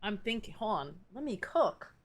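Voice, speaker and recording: A young adult woman giving casual live game commentary in a slangy, expressive, giggly way. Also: medium pitch, normal speed. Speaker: game streamer.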